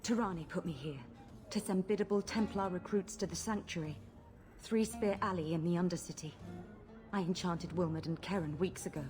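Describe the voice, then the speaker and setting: Woman acting a low-voiced, manipulative temptress mage, music behind. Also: a little fast, lightly acted. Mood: frightened, pleading. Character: seductive, manipulative blood mage courtesan, craven when cornered. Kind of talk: video game voice acting. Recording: game audio with music behind.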